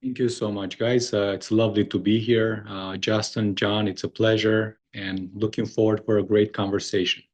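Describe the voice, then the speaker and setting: A middle-aged man from Romania with a Romanian accent, speaking earnestly as an interview guest, often pausing on "uh" and restarting his sentences. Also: on the low side, little pitch movement, darkish tone, muffled recording. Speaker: guest, moving company founder. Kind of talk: conversational interview.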